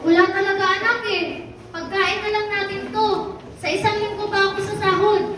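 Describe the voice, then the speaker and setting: Woman acting a high-pitched, pleading, apologetic mother. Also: steady tone, a little fast. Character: long-suffering, apologetic seamstress mother, pleading gently. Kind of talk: amateur Filipino radio drama acting. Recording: echoey, distant, noisy amateur recording.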